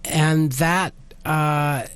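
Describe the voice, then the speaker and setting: A man giving a casual radio interview as a radio theater writer, explaining things in a hesitant, halting way. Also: fairly high pitch, steady tone, a bit bright, smooth, dry recording, soft background music.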